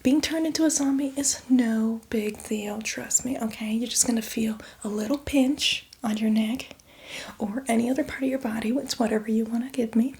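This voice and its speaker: A woman playing a boastful, playfully menacing female zombie in a chatty, teasing ASMR roleplay, speaking in a breathy, hushed whisper. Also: on the high side, fairly even intonation, moderate pace, close to an everyday voice. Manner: chatty, teasing, addresses the listener as victim.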